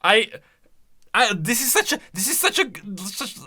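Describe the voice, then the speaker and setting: Bright, animated male game commentator. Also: very high-pitched. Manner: enthusiastic, halting, thinking aloud. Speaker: video host, game lore commentator. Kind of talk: casual reaction commentary.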